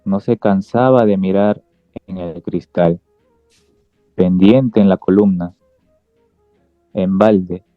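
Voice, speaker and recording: Slow low-pitched male story narrator. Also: steady tone, no echo. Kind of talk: scripted literary narration for radio drama. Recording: dull lo-fi sound, music behind.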